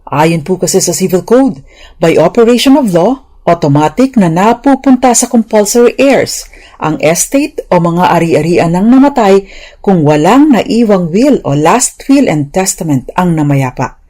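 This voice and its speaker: A woman's voice: on the low side, expressive, a little slow, mellow. A woman with a Filipino accent giving a prepared radio legal explainer in a formal, polite, didactic manner. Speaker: lawyer and radio legal commentator.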